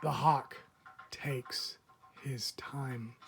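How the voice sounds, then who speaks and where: A man reading a picture book aloud as a teacher would, expressively, with deliberate dramatic phrasing and frequent pauses. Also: medium pitch, steady tone, gravelly, fairly bright tone. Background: background music.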